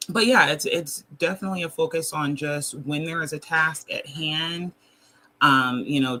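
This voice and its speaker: A woman's voice: deep voice, fairly bright tone, a little hiss or hum. A woman from Atlanta with a Southern accent, chatting as a guest, joking and full of ums. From Atlanta, Georgia. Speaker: executive assistant. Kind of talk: casual chat.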